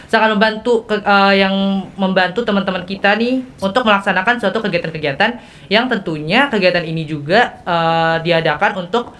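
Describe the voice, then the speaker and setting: A woman's voice: mid-range voice, moderate pace, fairly bright tone. A teenage young woman, hosting a casual student podcast interview.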